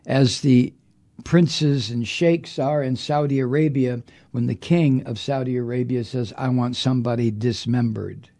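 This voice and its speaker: A man with a gravelly, mellow-toned voice rants on his podcast. He is angry and profane, and he hammers on his words. His delivery is political commentary, with a dark timbre underneath. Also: medium pitch, fairly even intonation, measured pace. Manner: angry, profane, emphatic ranting. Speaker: podcast host.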